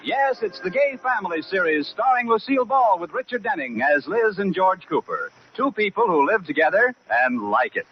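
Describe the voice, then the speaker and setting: A man's voice: sits high, big pitch swings, moderate pace, hardly any pauses, very noisy. An American man announcing a 1940s radio comedy and setting the scene. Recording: muffled 1940s radio, loud music behind.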